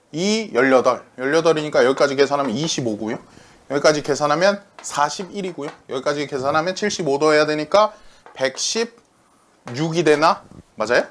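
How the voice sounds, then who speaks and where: Lively high-voiced man teaching math. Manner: lively, asks questions and answers them himself; talks through arithmetic aloud. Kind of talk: classroom math lecture.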